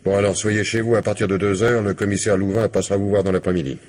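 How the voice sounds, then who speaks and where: A man acting a brisk, weary, wry police commissioner in a French radio crime drama, with a French accent and blunt colloquial delivery. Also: lowish voice, level tone, darkish tone, slight background noise, close to an everyday voice. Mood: dry, probing.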